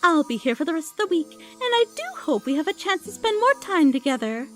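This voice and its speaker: An elegant, flirty mare of high fashion in an audio drama. Her voice is extremely high and swoops with lively intonation, poised and theatrical, over faint music. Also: a little fast. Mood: fond. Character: elegant, refined, flirtatious female pony fashionista. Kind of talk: fan audio drama character acting.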